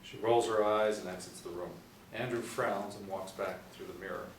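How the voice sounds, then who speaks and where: A young man narrates screenplay directions at a table read. His voice sits slightly low, picked up from a distance in an echoey room. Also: fairly even intonation, moderate pace. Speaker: narrator reading stage directions. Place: small meeting room, seated in a row. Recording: echoey room, distant mic, faint noise.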